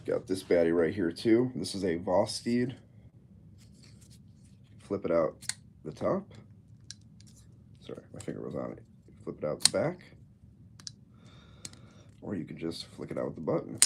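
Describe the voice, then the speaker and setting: Stuffy-nosed low-voiced man streaming. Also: noisy background. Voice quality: nasal, stuffy. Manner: rambling, joking, easily sidetracked. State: congested from a cold. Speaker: livestreamer. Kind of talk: casual livestream chat. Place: his home room.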